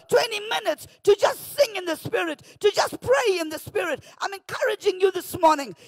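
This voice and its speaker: A woman pastor with a South African accent, preaching a morning devotion from a church pulpit into a handheld mic, often shouting, then dropping to a hush. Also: very high voice, vocal fry, no room sound. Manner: fervent, often shouting, swings from loud to hushed. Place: church stage behind a pulpit. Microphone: handheld microphone.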